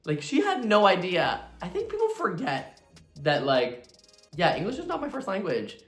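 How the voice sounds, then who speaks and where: A man's voice: high voice, wildly swooping pitch, normal speed, reverberant, faint music behind. A drag competitor whose first language is Italian, telling a story animatedly in a confessional interview and acting out the people he quotes. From Canada.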